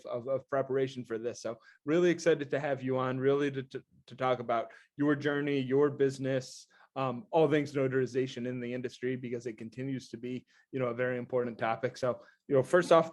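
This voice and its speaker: Man, podcast host, medium pitch, stammering restarts, affirming murmurs. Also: darkish tone. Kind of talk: casual interview hosting.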